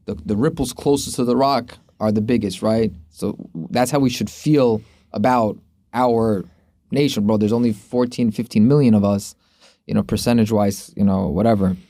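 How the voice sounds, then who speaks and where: A male podcast host with a fairly low, mellow voice. He chats informally and rambles, circling back over his phrases, and the sound is dry and close. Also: steady tone, normal speed, no room sound. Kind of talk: casual sports podcast chat.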